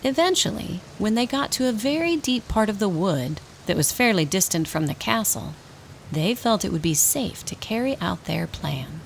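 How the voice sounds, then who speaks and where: A woman's voice: medium pitch, very animated, moderate pace, vocal fry, slight background noise, poor audio. A woman reading scripted storybook narration as the narrator of a radio drama.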